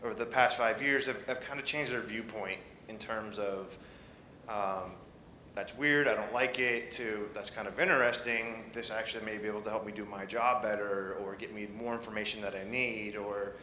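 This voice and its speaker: A man's voice: on the low side, fairly even intonation, moderate pace, bright, forceful tone, echoey room, a little hiss or hum, poor audio. A man answering questions on a conference panel, speaking with many fillers and restarts.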